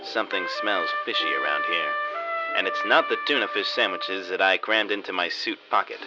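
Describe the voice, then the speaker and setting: Casting: male actor as mock-tough, fed-up police detective for a radio spoof, lowish voice, muffled recording. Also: moderate pace, natural voice. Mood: stern. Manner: scolding, blunt, mock-tough. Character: gruff, exasperated male senior police detective partner, blunt. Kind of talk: parody noir radio drama acting. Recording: dull lo-fi audio under very loud music.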